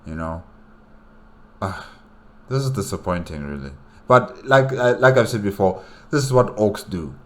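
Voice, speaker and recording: A man's voice: on the low side, expressive, measured pace, fairly bright tone. A man hosting a reaction, commenting casually and conversationally, repeating phrases as he goes. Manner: conversational, repeats phrases, speaks to the story's characters. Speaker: reaction host.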